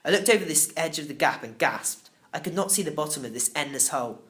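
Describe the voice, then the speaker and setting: A man narrating a children's novel in the first person, expressive and theatrical. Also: on the high side, fast. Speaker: audiobook narrator.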